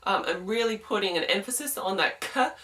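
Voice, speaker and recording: A young woman with a smooth voice, giving a chatty singing lesson to camera and explaining technique with quick sung examples. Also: medium pitch, animated, a bit bright, far from the mic. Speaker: singing teacher.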